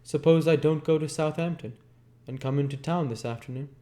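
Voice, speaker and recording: A young socialite woman, as a male reader puts her on, speaks in a raised pitch with a cool, brisk air. She is curt, in storybook dialogue read aloud. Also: warm tone, lightly acted. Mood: insistent. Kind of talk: acted dialogue in a novel reading.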